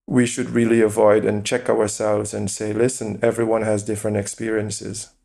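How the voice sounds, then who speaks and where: A man as a podcast guest, calmly explaining his view with examples and rhetorical questions. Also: fairly low pitch, normal speed, vocal fry. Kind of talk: interview conversation.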